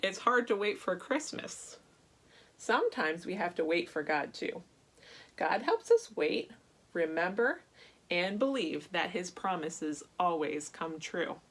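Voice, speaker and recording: A woman speaking to young children as a church storyteller, reading aloud and chatting in an animated way with simple questions. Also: fairly low pitch, takes it slowly. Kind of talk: read-aloud children's Bible story and chat to kids.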